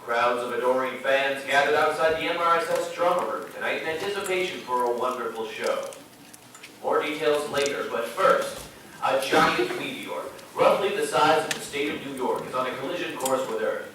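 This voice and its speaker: A man's voice: fairly high pitch, moderate pace, bright, forceful tone. A man playing a self-important TV news anchorman, reading mock evening news in a formal announcer's delivery. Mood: formal, announcing. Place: large echoey room, live stage show. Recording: echoey hall from far off, faint noise.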